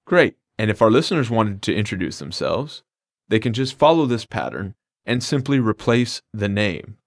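A man's voice: on the low side, animated, moderate pace, vocal fry, no echo. A man co-hosting a scripted language lesson, explaining phrases in an upbeat, plain way. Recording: dry studio recording.

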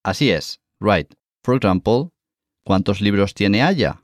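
A man teaching Spanish as co-host of a scripted language lesson, saying each phrase slowly and clearly and encouraging the learner. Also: on the low side, animated, no room sound, studio quality.

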